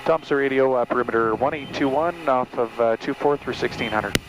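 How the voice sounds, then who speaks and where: A man's voice: mid-range voice, a little fast. A man working as an airline first officer, giving clipped, procedural but easygoing checklist and radio calls over an aviation headset. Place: small turboprop cockpit in flight. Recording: noisy aircraft intercom feed with engine drone.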